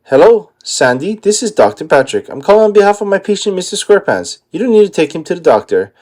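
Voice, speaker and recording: A man's voice: high voice, sing-song, fast, darkish tone, pitched above the performer's own voice. A man voicing a dim, alarmist, eager male starfish in a cartoon storybook, earnest and simple-minded.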